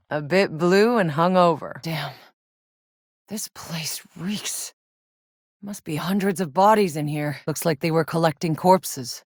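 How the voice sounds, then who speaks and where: A battle-hardened woman soldier of fortune from a video game, speaking in a slightly low voice. She is dry, blunt and sardonic, with a foul-mouthed edge. The sound is clean studio-dry, with no room on it. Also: no echo. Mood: businesslike. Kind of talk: video game character voice lines.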